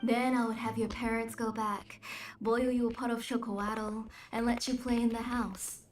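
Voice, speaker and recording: A kindly village mother from an anime game, voiced by a woman, speaks gently in a slightly high voice. She is motherly and hospitable. Faint music plays behind her. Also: flat delivery, moderate pace, lightly acted. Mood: fond, proud. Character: gentle, hospitable, ailing village mother, middle-aged auntie.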